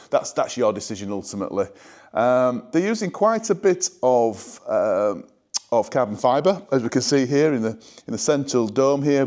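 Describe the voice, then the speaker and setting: Male showroom presenter, mid-range voice, informal and measured sales pitch with real enthusiasm. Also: darkish tone. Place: indoor hi-fi showroom.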